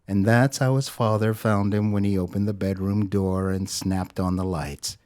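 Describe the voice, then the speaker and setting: A man narrating a scripted story in a dramatic, deliberate storytelling manner. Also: lowish voice, fairly even intonation, a little mellow.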